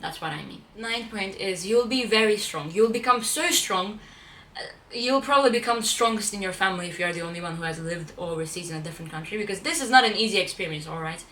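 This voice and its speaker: Bright young woman, Turkish native, echoey. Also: mid-range voice, animated, quick pace, distant mic, a little hiss or hum. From Turkey. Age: young adult. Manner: chatty, repeats and restarts phrases, self-correcting. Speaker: vlogger. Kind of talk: casual talk to camera. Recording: echoey room, voice a bit off-mic.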